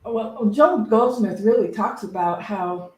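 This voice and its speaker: A woman's voice: mid-range voice, slow, darkish tone. A woman reverend giving a conversational, unscripted inspirational talk, reflective and anecdotal, often repeating phrases as she feels her way along. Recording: echoey room, distant microphone.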